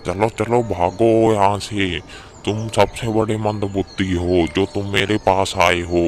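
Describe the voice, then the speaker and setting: A man voicing a suspicious, scornful village headman in an animated fable, scolding loudly and dismissively. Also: on the low side, unhurried, a little hiss or hum, close to an everyday voice. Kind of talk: animated moral-story acting.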